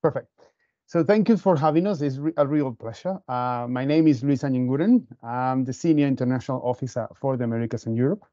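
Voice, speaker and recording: A man's voice: mid-range voice, fast talker, warm tone, dry recording, muffled recording. Enthusiastic man with a Venezuelan accent. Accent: Venezuelan Spanish. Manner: enthusiastic, repeats "amazing", frequent restarts. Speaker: university international officer. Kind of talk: presenting over a video call. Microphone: video call.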